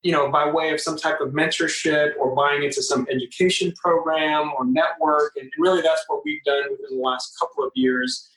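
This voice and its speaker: A man's voice: on the high side, normal speed, few pauses, fairly bright tone. A man in his forties, originally from the Philippines, speaks earnestly as a podcast guest, often repeating words as he starts a phrase. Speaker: podcast guest, real estate investor. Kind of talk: conversational interview. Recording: roomy, distant sound.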